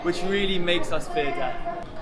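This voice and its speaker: Young London man, high voice. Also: measured pace, very noisy. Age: young adult. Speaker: street interviewee. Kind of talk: casual street interview answers. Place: outdoors on a London street.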